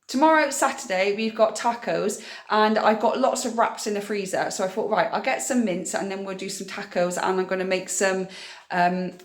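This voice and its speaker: A woman's voice: mid-range voice, moderate pace. A British woman chatting casually through a grocery haul vlog, sounding slightly nasal and a little scratchy from a cold. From United Kingdom. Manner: chatty, informal, explanatory. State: getting over a cold, slightly scratchy throat. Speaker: vlogger.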